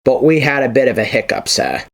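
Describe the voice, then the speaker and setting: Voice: medium pitch, big pitch swings, a little fast. An actor playing a cheeky, teasing research assistant henchman in an audio drama. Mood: matter-of-fact.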